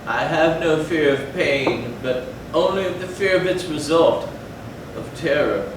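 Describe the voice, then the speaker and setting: A man playing a gloomy, fearful, doomed gothic aristocrat in a brooding, halting, melodramatic staged reading. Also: a little high, natural voice. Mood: dread. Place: live theatre stage, recorded from afar. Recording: very echoey, distant, noisy hall.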